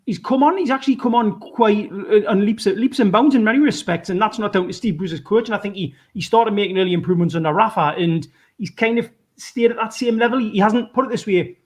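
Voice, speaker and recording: A man with a Geordie accent giving his football opinions in casual podcast chat, animated, often restarting his sentences. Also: sits high, fairly quick. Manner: animated, self-interrupting, many restarts. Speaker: podcast regular.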